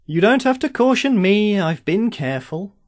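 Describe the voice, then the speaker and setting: A man playing an immortal sorcerer in an audio drama, suave and teasing, with measured, formal phrasing. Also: sits high, big pitch swings, no echo, clean studio sound. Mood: guarded.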